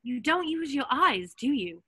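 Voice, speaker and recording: Bossy, animated schoolgirl witch played by a woman. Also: sits high, measured pace, exaggerated voice. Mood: exasperated. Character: bossy, know-it-all eleven-year-old schoolgirl witch. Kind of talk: amateur online script table read.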